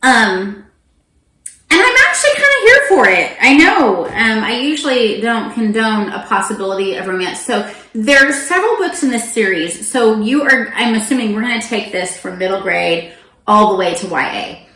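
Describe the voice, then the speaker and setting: Middle-aged woman, bright crisp tone, casual animated vlog chat, self-interrupting asides, roomy echo. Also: a little high, normal speed. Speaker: book review vlogger. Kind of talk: casual, chatty monologue to camera.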